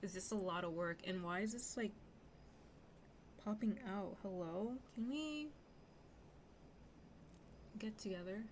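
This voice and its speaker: A woman's voice: medium pitch, a little slow, pauses often. Scratchy-voiced young woman streamer. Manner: rambling, many fillers. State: hoarse. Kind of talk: casual stream chat. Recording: music playing underneath.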